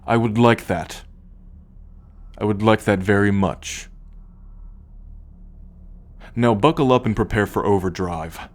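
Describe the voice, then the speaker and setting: A man playing a proud, possessive alien starship captain in an ASMR roleplay, speaking in a measured, formal, commanding way to the listener. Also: on the low side. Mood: firm, softening. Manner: measured, imperious, formal lecturing.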